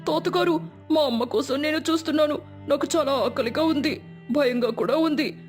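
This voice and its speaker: Woman acting a little boy, innocent, caring, anxious, high voice, storybook style, background music. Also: moderate pace, lightly acted. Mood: sad, anxious.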